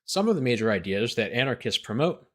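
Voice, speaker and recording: Male lawyer-host, medium pitch, document read-aloud with dry, incredulous running commentary. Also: sing-song, quick pace, fairly bright tone. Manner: incredulous, sarcastic asides.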